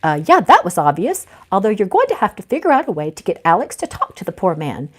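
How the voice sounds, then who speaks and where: A woman's voice: mid-range voice, wildly swooping pitch, fast, breathy edge. A woman voicing a dry, cautious, easily alarmed female ghost in a storybook. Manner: dry, fretful.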